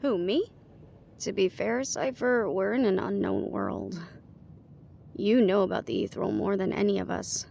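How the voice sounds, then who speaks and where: A woman's voice: mid-range voice, measured pace, creaky, dark tone, a little hiss or hum. A woman playing a guarded, weary young team leader in a fantasy audio roleplay, with a dry, casual delivery. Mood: wry, deflecting.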